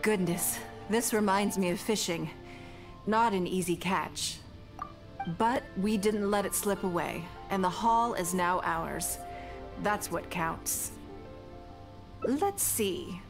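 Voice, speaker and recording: Elegant rich businesswoman game character, measured n genteel, bit teasing, music playing underneath. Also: medium pitch. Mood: composed, pleased. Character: poised, refined, shrewd wealthy businesswoman, playful and fond. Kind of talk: video game dialogue acting. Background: background music.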